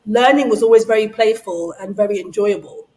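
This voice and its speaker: A woman's voice: medium pitch, a little fast, warm tone, poor audio. Chatty woman guest, brand strategist. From Nigeria, raised in London. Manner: chatty, lots of fillers and restarts, laughs easily. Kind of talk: casual interview conversation.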